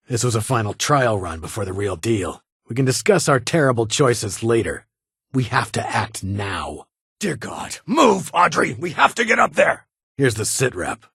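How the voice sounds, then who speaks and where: An actor playing a stern, guarded military security leader in a video game, speaking formally in clipped, commanding phrases. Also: male voice, on the low side, fairly bright tone, breathy edge, dry recording, studio quality, full character voice. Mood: urgent. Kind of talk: video game character dialogue.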